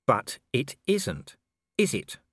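A man voicing a shrewd, sardonic male commander turned diplomat in an audiobook, with a smooth, dry, insistent manner. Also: medium pitch, wildly swooping pitch, slow, a bit bright, dry recording, heavily acted. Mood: quietly pressing.

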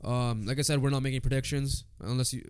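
Male sports podcast co-host, congested yet clear, informal, loose-tongued, mid-range pitch. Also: steady tone, quick pace, a little mellow. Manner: informal, swears casually. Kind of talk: casual sports chat, reading lists and predictions.

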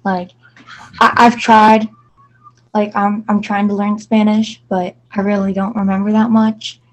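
A woman's voice: mid-range voice, level tone, measured pace, low-quality recording. A woman from Virginia, a student guest chatting casually with lots of fillers. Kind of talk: casual chat.

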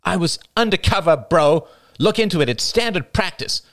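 A man's voice: sits high, expressive, measured pace, darkish tone, breathy edge, no echo, studio quality, voice pushed up, heavily acted. A man voicing an anxious but defiant young woman reporter in an audiobook, pleading and protesting. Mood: indignant, defiant.

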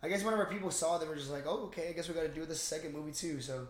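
A young man co-hosting a casual movie discussion, talking in an excitable, slangy way. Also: fairly high pitch, very fast. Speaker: podcast co-host. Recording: echoey room.

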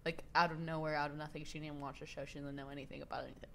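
A woman's voice: deep voice, fairly even intonation, very fast. A woman in her twenties co-hosting a casual podcast, chatting in a playful, jokey way and swearing casually. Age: 20s. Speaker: podcast co-host. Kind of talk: casual chat. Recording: clear background noise.

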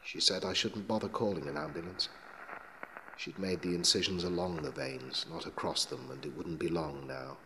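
A man reading a short story as a dramatic narrator, in a measured and sombre manner. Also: low-pitched, expressive, bright, forceful tone. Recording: long hall reverb, clear background noise.

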